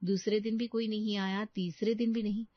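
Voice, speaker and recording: A woman's voice: mid-range voice, flat delivery, normal speed, no echo. Woman narrating a story, dull dry sound.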